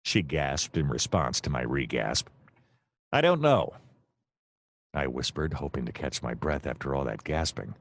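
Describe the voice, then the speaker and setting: An actor playing a seedy, self-deluded private detective, narrating a comic noir radio play in a deadpan, melodramatic way. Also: male voice, very deep voice, measured pace, creaky, no room sound, full character voice. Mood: melodramatic, wry. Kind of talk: hardboiled noir first-person narration, comedic radio play.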